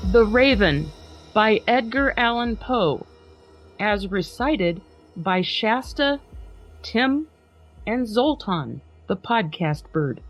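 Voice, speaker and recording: A woman's voice: on the high side, lo-fi sound. A woman hosting a podcast in an upbeat, chatty way, laughing easily and also reading a poem aloud with drama. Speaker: podcast host.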